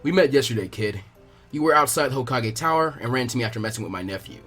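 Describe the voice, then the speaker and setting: Man voicing a low, laid-back ninja instructor. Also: expressive, quick pace, fairly bright tone, soft background music. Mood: amused, casual. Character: laid-back bearded adult male ninja instructor, wryly amused.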